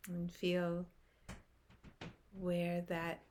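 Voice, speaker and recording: A woman leading a guided meditation in a gentle, unhurried manner, speaking in short phrases with long pauses. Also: fairly low pitch, monotone, slight background noise.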